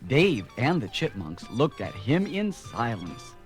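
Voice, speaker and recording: A man reads a scripted children's tale as narrator. His voice is medium in pitch and highly expressive in intonation. Faint music plays underneath. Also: takes it slowly.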